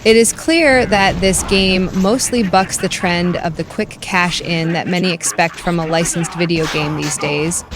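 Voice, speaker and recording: A woman's voice: on the low side, noisy background. A woman reading a scripted video game review as a voice-over narrator.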